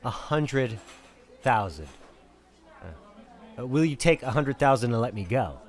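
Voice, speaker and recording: A man's voice: medium pitch, big pitch swings, measured pace. A man playing a fussy, nervous accountant on the run, stammering and politely persistent in an acted film script read. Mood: nervous probing. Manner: hesitant, stammering, earnestly nagging.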